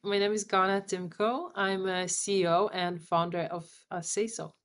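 A woman in her thirties whose first language is Polish, a company founder talking thoughtfully with halting restarts on a video call. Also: medium pitch, steady tone. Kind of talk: casual interview. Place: home room on a video call.

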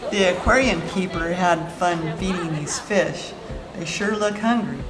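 A woman narrating a travel vlog in a calm, admiring scripted voice-over. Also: fairly low pitch, a little slow, very noisy. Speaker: travel vlogger. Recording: roomy voice-over under loud music.

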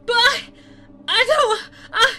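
A woman's voice: very high voice, slow, very noisy. An actress playing a wary, incredulous and plain-spoken young woman in an audio drama. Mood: panicked. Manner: incredulous, wry.

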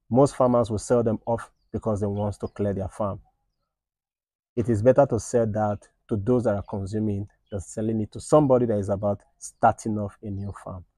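A man's voice: lowish voice, mellow, no room sound. A man in his thirties giving earnest, informal farming advice to a phone camera under an outdoor shed, often checking in with "right?". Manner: earnest, repeats points with "right?" and "all right". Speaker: snail farmer and channel host. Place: outdoor shed with tin roof at a snail farm. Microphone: phone camera at arm's length.

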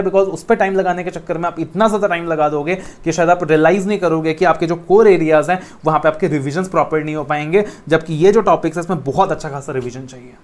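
Motivational Indian man teaching exam law. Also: on the high side. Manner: coaxing, motivational, mixes English phrases, gestures while talking. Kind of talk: classroom lecture to camera. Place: indoor studio room with a whiteboard screen.

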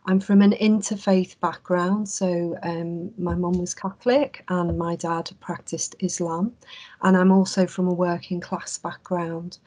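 A woman from England, a clinical psychologist, giving an earnest, measured webinar talk over a video call. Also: lowish voice, flat delivery, darkish tone. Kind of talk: webinar presentation.

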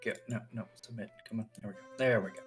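A man talking casually and haltingly as a player in a tabletop roleplaying game. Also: fairly low pitch, fairly even intonation, soft background music.

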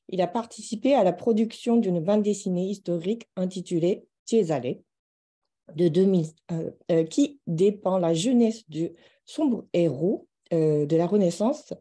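A woman's voice: lowish voice, moderate pace, dark tone. A middle-aged woman giving a formal, scripted introduction as a seminar moderator on a video call.

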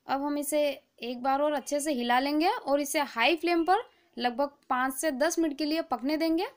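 High-voiced woman calmly explaining a recipe. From India. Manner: calm, patient, instructive. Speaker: cooking channel host. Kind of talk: step-by-step recipe voice-over.

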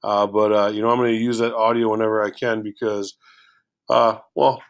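A man with a low voice teaching a recorded lesson through a shared screen, casual and full of filler words. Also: little pitch movement, normal speed.

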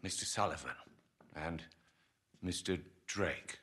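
An actor playing a suave, sinister agent in a video game, formal, precise and smug. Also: male voice, deep voice, takes it slowly, a bit bright, a little hiss or hum. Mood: businesslike.

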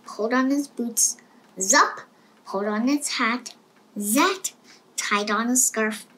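A woman's voice: a little high, slow, bright, forceful tone, slight background noise, low-quality recording. A woman reading a children's storybook aloud as narrator. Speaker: storybook reader.

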